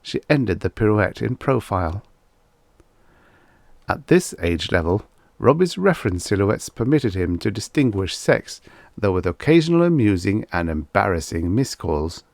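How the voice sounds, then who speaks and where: A man narrates a scripted story in a measured, expressive way. His voice sits on the low side with lively movement in pitch, and the recording is dry and close. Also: creaky, no echo. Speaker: audiobook narrator.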